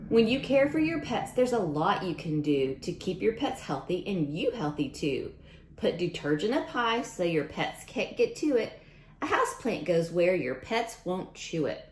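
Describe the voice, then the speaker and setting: A woman reading a picture book aloud to young children in an expressive way, adding chatty asides directly to her listeners. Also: medium pitch, roomy sound, slight background noise.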